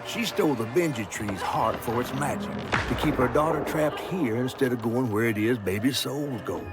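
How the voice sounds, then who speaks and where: An actor playing a male storyteller in a folklore video game, narrating in a measured, folksy way. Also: mid-range voice, over loud music, close to an everyday voice. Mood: reflective storytelling. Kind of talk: scripted folktale narration.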